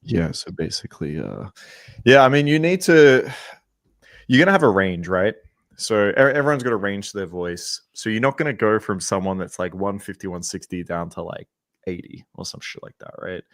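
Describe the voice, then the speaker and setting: A man giving casual dating advice on a podcast, relaxed and full of "um" and "right". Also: lowish voice, normal speed, dry recording, studio quality. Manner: relaxed, many ums and rights. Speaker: dating coach.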